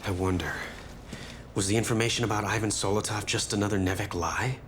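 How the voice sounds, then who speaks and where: A man voices a determined young soldier in a video game cutscene. His voice sits fairly low, and he talks in a curt, businesslike way. There is faint noise behind him. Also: a little fast, fairly bright tone, lightly acted. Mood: probing, guarded. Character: determined young male soldier seeking answers about his father. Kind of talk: English-dubbed video game cutscene acting.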